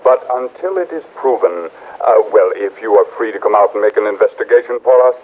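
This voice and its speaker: Man playing an anxious, low-voiced polite businessman. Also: animated, a little fast, a little hiss or hum, natural voice. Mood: worried, courteous. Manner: formal, hesitant, deferential. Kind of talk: old-time radio drama acting. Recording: narrow, tinny old radio recording.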